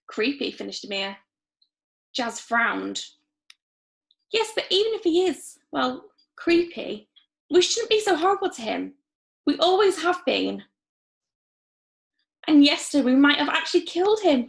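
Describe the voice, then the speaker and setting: A woman reading a children's story aloud expressively, acting out the dialogue with lively emphasis. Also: high voice, gravelly.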